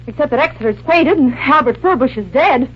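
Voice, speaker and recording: An actress playing an excitable, wisecracking, blunt secretary in an old-time radio drama. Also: female voice, high voice, brisk, husky, full character voice. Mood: blunt, urgent. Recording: dull, hissy vintage radio recording.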